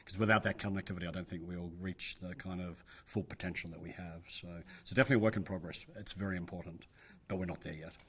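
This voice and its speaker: Fast-talking low-voiced New man. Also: fairly even intonation, fairly bright tone, breathy edge. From New Zealand. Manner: thoughtful, hedging with frequent "I think" and "you know". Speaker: business advisor interviewee. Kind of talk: interview answers.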